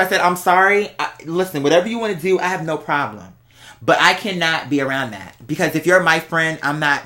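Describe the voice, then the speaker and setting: A speaker chatting casually to viewers as host, telling stories in a sassy, slangy, exclamatory way with drawn-out emphasis. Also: medium pitch, bright, forceful tone. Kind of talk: casual storytelling chat to viewers.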